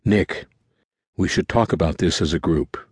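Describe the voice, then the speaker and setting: A man's voice: sits low, moderate pace, husky, no echo, clean studio sound. A man voicing a compassionate, earnest woman who insistently urges others on in a thriller story. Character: compassionate, earnest woman urging the group to help.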